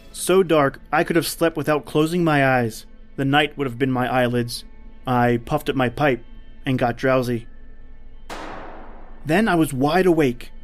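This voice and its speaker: A man's voice: a little high, close to an everyday voice. A man acting a confident, dismissive big-game hunter who narrates his ordeal in an old-time radio drama.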